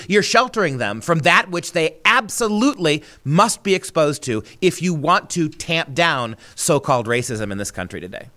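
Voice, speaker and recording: Male commentator, very bright and forceful voice, medium pitch, emphatic opinion delivery with rhetorical repetition. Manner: emphatic, rhetorical questions, repeats phrases for emphasis.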